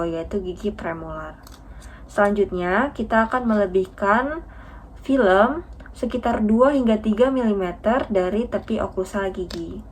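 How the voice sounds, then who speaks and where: Young woman instructing, noisy recording. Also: mid-range voice. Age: young adult. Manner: polite, methodical, formal. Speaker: dental student demonstrating a radiography procedure. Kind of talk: instructional skills demonstration, step-by-step explanation.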